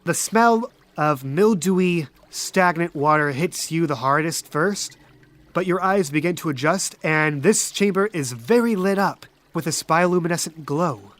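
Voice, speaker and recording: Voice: mid-range voice, animated, measured pace, a bit bright. A game master narrating a tabletop role-playing game with descriptive, folksy phrasing.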